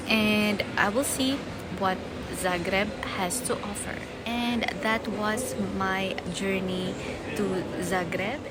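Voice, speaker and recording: A woman's voice: mid-range voice, heavy background noise. A woman chatting casually to her viewers as a travel vlogger, talking straight to the camera. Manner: chatty, unhurried, addresses viewers directly. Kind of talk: casual travel vlog narration. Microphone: handheld action camera. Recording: loud background music, sometimes off-mic.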